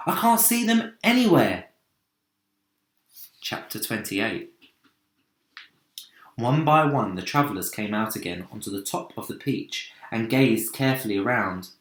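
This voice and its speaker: A man's voice: on the low side, moderate pace, a bit bright. A man narrating a children's storybook expressively. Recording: roomy, echoing sound.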